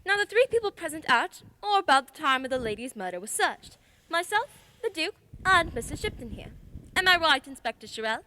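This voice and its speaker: Woman as sharp lady detective for radio play: high, animated voice, formal, methodical, assured. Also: fairly quick, heavily acted. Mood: poised, pointed. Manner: assertive, precise, formal. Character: confident female detective, sharp and methodical, accused of murder.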